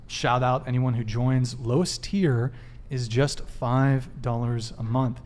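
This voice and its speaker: Brisk male podcast host. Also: mid-range voice, steady tone, a little mellow. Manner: brisk promo patter with fillers. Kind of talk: live podcast hosting, reading announcements.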